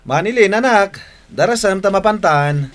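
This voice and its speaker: A man's voice: high-pitched, expressive, moderate pace, fairly bright tone. A man acting a doting, playful father in an Ilocano radio drama, with a teasing, affectionate delivery. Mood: cheerful coaxing.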